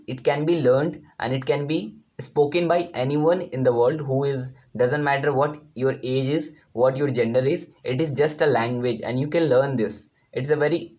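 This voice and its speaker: A man's voice: medium pitch, a little mellow. Indian man explaining, Hindi native speaker. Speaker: YouTube language tutor. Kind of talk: informal lesson to viewers.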